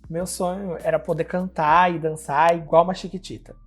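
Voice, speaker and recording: A man in his twenties, a student and drag performer, giving a personal testimony to camera in a lively, expressive way. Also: a little high, normal speed, husky, faint music behind. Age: 20s.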